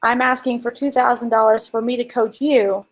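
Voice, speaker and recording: High-pitched woman coaching casually. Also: little pitch movement, moderate pace. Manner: informal, emphatic, illustrates with examples. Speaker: co-host and marketing coach. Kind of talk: casual business coaching chat.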